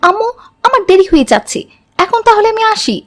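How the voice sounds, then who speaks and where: A very high-pitched teenage girl from a moral-tale cartoon, acted by a woman. She is earnest and stubborn, and states her case in careful, formal sentences. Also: sing-song, brisk. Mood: worried.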